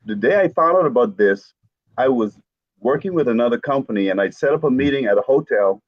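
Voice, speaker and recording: A man's voice: medium pitch, lively intonation, fairly quick, no room sound. Upbeat middle-aged man, dull dry sound. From Bahamas. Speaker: network marketing coach. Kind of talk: casual storytelling on a live stream.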